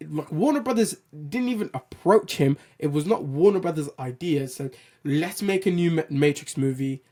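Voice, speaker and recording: A man with a loud voice hosting a film and TV show, giving an animated, opinionated rant with casual swearing. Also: fairly high pitch. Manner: animated, blunt, swears casually, restarts sentences. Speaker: host of a film and TV news show. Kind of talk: opinionated solo commentary rant.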